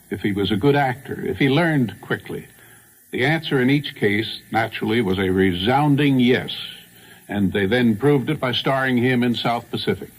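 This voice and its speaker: A man hosting a radio program, reminiscing in a measured, scripted announcer delivery. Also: medium pitch, rough recording. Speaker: radio host.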